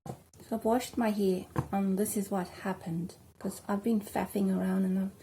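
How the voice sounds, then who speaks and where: A South African woman chatting casually at home while doing her hair, rambling and filling pauses with ums. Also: medium pitch, little pitch movement, mellow, a little hiss or hum, rough recording. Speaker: vlogger. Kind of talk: casual hair tutorial chat.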